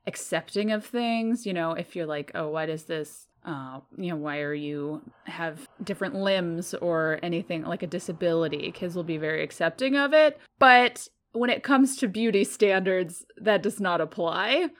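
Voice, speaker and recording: A woman's voice: on the low side, moderate pace, hardly any pauses. A woman co-hosting a podcast, chatting casually and reading listener comments aloud. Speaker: podcast co-host. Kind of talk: casual chat.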